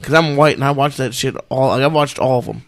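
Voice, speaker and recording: A man's voice: medium pitch, fast. A man guesting on a podcast as a comedian, talking casually with lots of stammers and nervous, self-deprecating restarts.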